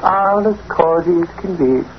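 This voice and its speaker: An actor playing a blustering, good-natured, slow-witted Irishman in a folksy brogue, in 1940s radio style. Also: high voice. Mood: chatty. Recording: muffled, very noisy old radio recording.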